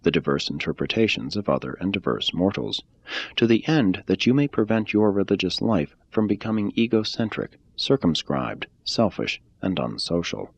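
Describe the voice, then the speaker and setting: A man reading a religious text aloud in a measured, deliberate way. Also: deep voice, sing-song, husky, no echo, highs cut off. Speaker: reader.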